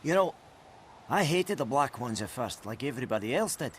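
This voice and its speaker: An actor playing a blunt, foul-mouthed, grumpy dwarf blacksmith in a fantasy video game. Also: male voice, mid-range voice, big pitch swings, brisk, fairly bright tone, a little hiss or hum. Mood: grumbling, businesslike. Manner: blunt, salty.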